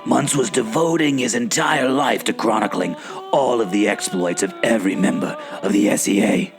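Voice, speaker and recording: Man playing a wry, menacing villain boss, gravelly. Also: on the low side, normal speed, over music. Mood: smug, threatening. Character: smug, impatient male villain boss interrogating captives. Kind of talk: comic adventure radio play acting.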